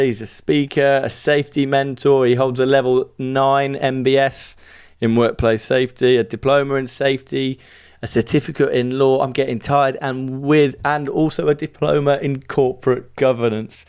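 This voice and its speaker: A man's voice: medium pitch, flat delivery, normal speed, a little mellow, clear, resonant tone. A man with a Brummie accent hosting a podcast in a chatty, informal, self-deprecating way. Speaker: podcast host.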